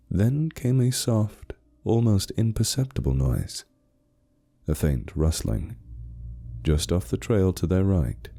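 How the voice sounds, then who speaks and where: A man narrating a scripted spooky story in a measured, suspenseful storytelling manner. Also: rumbling low, dark tone.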